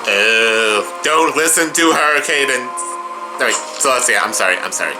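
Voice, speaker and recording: A man's voice: medium pitch, lively intonation, moderate pace, low-quality recording. A man doing live reaction commentary as a video host, exclaiming and talking back to the show he follows. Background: drama audio and music playing behind.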